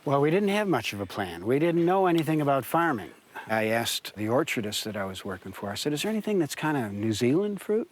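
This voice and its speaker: A man's voice: mid-range voice, big pitch swings, a little fast. Older American man, easygoing farmer. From Detroit, USA. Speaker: farmer and winemaker. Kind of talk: casual interview chat.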